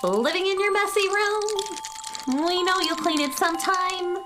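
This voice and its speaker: A woman's voice: very high-pitched, measured pace, over music. A woman voicing a small, melodramatic robot pest that loves mess, in a children's radio play with sing-song delivery. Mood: pleading, sing-song. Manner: melodramatic, exclamatory. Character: small pink-eyed icky robot pests, dramatic, mess-loving.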